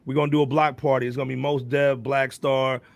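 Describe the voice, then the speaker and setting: A man's voice: mid-range voice, level tone, brisk, vocal fry, no echo, lo-fi sound. An American man hosting a casual podcast chat, putting questions to the other panelists. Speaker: music podcast host.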